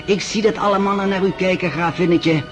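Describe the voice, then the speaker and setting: A man's voice: high voice, steady tone, a little fast, lightly acted. A man acting a gallant, gossipy society dandy in a Dutch radio drama, effusive, flowery and affected. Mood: gossipy, relishing. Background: music.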